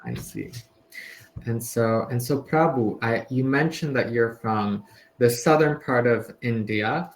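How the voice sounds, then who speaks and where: A man's voice: medium pitch, expressive, slow, rough recording. A man from the tutoring English in a casual conversation lesson over a video call, friendly and hesitant, with many fillers and restarts. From United States.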